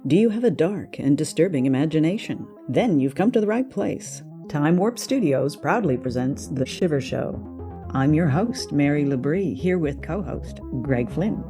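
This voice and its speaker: A woman's voice: low voice, animated, normal speed, mellow. A woman hosting, giving a theatrical scripted introduction to a show. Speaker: podcast host. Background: guitar music.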